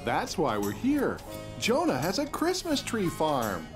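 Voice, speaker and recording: An actor playing a gentle, patient young father in a children's cartoon, soothing and a little teasing. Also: mid-range voice, huge pitch swings, unhurried. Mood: playful. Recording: music under the voice.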